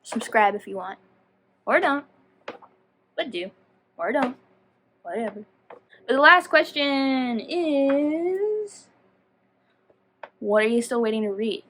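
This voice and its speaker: A woman's voice: sits high, sing-song, slow pace, pauses often, fairly bright tone, a little hiss or hum. A woman chatting casually to viewers as a book vlogger, rambling and self-deprecating as she goes off on tangents. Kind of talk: casual chatty video talk.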